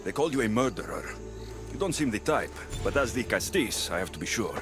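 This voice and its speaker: An actor playing a blunt, firm warrior hero serving as a judge in a video game. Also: male voice, mid-range voice, animated, fairly quick, lightly acted. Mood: stern. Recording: game soundtrack music underneath.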